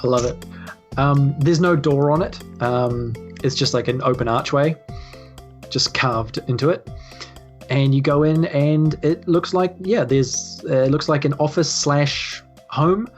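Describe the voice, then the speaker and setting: A woman's voice: low-pitched, a little slow, a little mellow, muffled recording, soft background music. A woman improvising casual narration as the game master of a tabletop game.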